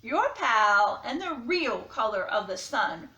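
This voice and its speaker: A woman's voice: on the high side, sing-song, slow pace, sharp tone, echoey room, lo-fi sound, pitched below the performer's own voice, exaggerated voice. A woman voicing a snide, cocky orange crayon in a storybook read-aloud. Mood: sneering, smug. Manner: sneering, boastful.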